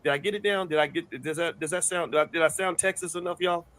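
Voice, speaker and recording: A man hosting a news commentary show. He talks in an animated, rambling way and often repeats words. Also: fairly high pitch, fast talker. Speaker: host.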